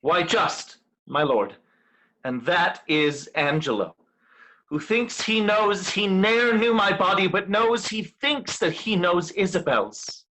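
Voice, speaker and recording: A man playing a resolute, wronged veiled woman in a Shakespeare reading, in measured formal verse. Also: sits high, expressive, pushed and bright, exaggerated voice, low-quality recording. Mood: resolute, accusing.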